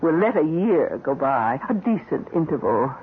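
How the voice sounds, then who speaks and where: A woman playing a shrewd, scheming middle-aged housekeeper in a radio drama, with deliberate, insinuating phrasing. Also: low-pitched, sing-song. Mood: coolly coaxing. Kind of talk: 1970s radio drama acting. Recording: narrow-band old radio recording, faint hiss.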